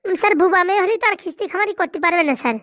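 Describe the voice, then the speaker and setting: A woman's voice: extremely high pitch, rapid-fire, fairly bright tone, no room sound, studio quality. A woman voicing a scheming, fretful male village sidekick in a comic cartoon, chattering deferentially in dialect. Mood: hesitant. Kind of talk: comic Bengali cartoon dialogue.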